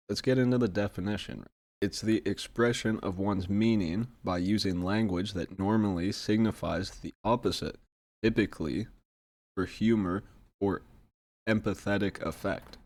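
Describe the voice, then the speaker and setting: Low-voiced guy, kinda hoarse from being sick, rambling through his own podcast. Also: a little mellow. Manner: reflective, rambling, many fillers. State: hoarse, recovering from a sore throat. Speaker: podcast host. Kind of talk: casual solo podcast talk.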